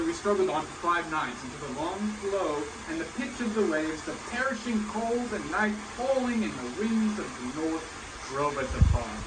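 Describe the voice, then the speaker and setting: A man playing a boastful heroic warrior, declaiming an epic monologue with proud, dramatic delivery. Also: on the high side. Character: boastful heroic warrior recounting sea battles. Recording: echoey room, clear background noise.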